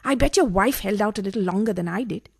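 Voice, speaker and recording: A woman's voice: mid-range voice, fast talker, gravelly, breathy edge. A woman acting a nervous, guilt-ridden married mistress in a radio farce, fretful and melodramatic. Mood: guilty, petulant. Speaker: radio play actor. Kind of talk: farcical radio play acting.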